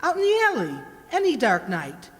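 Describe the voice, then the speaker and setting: A woman's voice: fairly high pitch, huge pitch swings, moderate pace. A woman playing a bossy, sarcastic cook in old London in an amateur radio play. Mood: brusque, scolding. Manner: bossy, mocking, scornful. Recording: echoey hall, long reverb.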